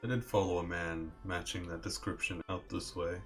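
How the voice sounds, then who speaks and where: A man's voice: low-pitched, steady tone, normal speed, a bit bright, pitched below the performer's own voice. A man voicing a reserved, observant young man with an understated, matter-of-fact delivery. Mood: calm, apologetic. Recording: faint music under the voice.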